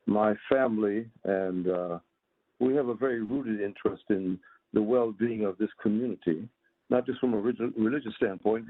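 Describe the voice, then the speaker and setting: An American man in his seventies speaking earnestly and in a measured way at a community meeting, over a phone line. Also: fairly low pitch, dry recording. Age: 70s. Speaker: imam, community member calling in. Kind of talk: public comment at a community meeting. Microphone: telephone call. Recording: narrow, tinny phone line.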